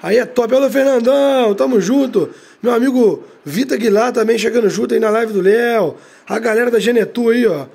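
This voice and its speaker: Hoarse rio guy hyping his bus game stream, husky voice, slangy, drops low on every tag word. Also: brisk, few pauses. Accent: Brazilian Portuguese, Rio de Janeiro. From Brazil. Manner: slangy, drags out catchphrases, tag words drop low and quiet. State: hoarse from a cold. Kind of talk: hyped gameplay commentary.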